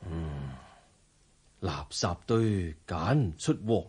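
An actor playing a serene, dignified Buddhist monk in a radio drama, measured and composed, with a Hong Kong Cantonese accent. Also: male voice, deep voice, expressive, darkish tone, breathy edge, exaggerated voice. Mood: calm, assured.

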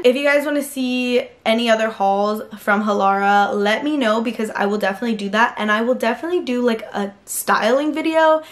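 A woman in her twenties doing a chatty, gushing try-on haul review from her bedroom, filled with "like". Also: a little high. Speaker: fashion vlogger. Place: pink-lit bedroom.

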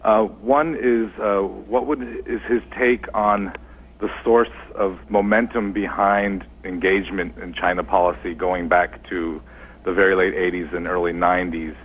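A male caller with a very low voice asks his questions hesitantly, full of ums and uhs. He sounds thin and tinny, as if on an old phone line. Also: steady tone, unhurried, a little hiss or hum. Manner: hesitant, many fillers. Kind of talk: call-in question. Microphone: telephone.

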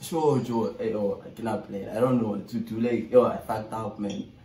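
A South African man in his twenties making a casual, slangy prank phone call, animated, exasperated and pleading, with swearing. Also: lowish voice, moderate pace, slight background noise. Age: 20s. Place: small bare bedroom indoors. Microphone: camera a short distance away. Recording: roomy echo, distant from mic.

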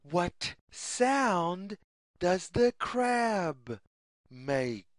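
A woman reads a children's picture book at an extremely slow, deliberate pace. Her slightly low voice rises and falls widely in a sing-song pattern, with each short phrase set apart. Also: sing-song, no echo, studio quality. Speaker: children's story reader. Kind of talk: read-aloud picture book narration for young children.

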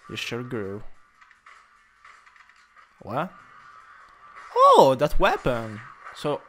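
A man's voice: mid-range voice, huge pitch swings, drawn-out words, sharp tone, soft background music, full character voice. A man with an Italian accent playing a possessive, teasing, dominant older stepbrother in an improvised ASMR roleplay. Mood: playful, impressed. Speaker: roleplay audio performer.

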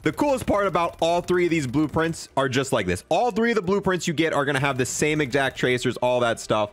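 A man's voice: a little high, big pitch swings, fairly quick. A young man giving casual, enthusiastic, slangy commentary as he reviews a video game. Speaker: gaming video creator.

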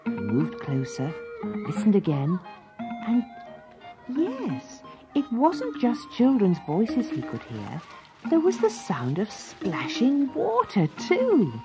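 A woman narrating a children's storybook in an expressive, dramatic way. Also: mid-range voice, slow pace, music in the background, rough recording.

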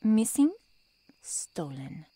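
Cold, terse vampire woman , acted by a woman for ASMR roleplay: lowish, breathy, commanding, unhurried. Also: very animated, mellow, a little hiss or hum, full character voice. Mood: curt, stern. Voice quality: husky, often half-whispered. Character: curt, impatient, menacing female vampire.

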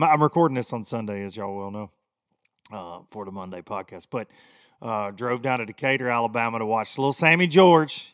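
Hoarse Southern man hosting a podcast. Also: mid-range voice, fairly bright tone, no echo, studio quality. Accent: Southern American. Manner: rambling, folksy. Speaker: podcast host. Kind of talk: casual podcast monologue. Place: small home studio. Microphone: studio mic with headphones.

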